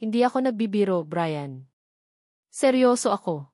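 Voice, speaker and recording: A woman's voice: medium pitch, animated, moderate pace, mellow, no echo, natural voice. Woman acting tearful, resolute young woman, dry sound.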